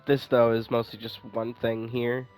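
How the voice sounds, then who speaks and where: A man's voice: medium pitch, monotone, moderate pace, darkish tone. A man doing casual, rambling gameplay commentary, thinking aloud and swearing now and then. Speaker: gaming streamer playing and commenting. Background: game music.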